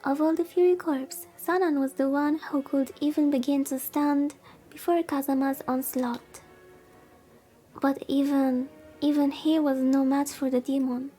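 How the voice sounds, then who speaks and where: A high, mellow-voiced woman streams a visual novel read-aloud. She reads soothingly and drops in casual comments, with music playing behind her. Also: little pitch movement, measured pace. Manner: soothing reading. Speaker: VTuber streamer. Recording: background music.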